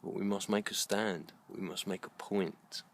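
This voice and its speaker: A middle-aged British man speaks in a very low voice with a gravelly creak. He delivers a reflective monologue in short, deliberate phrases, earnest and halting. Faint ambient noise sits behind him. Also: steady tone. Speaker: video blogger. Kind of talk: slow reflective monologue to camera.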